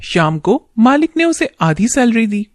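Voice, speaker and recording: A woman's voice: a little high, sing-song, a little slow, darkish tone, no echo, clean studio sound. A woman narrating a scripted moral story as a storyteller.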